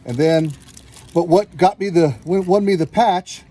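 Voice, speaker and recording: A man's voice: high voice, unhurried, mellow. An American man from California telling a casual, rambling hobby story and acting out what people said. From California, United States. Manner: rambling, acts out quoted exchanges. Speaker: metal detecting hobbyist telling his story.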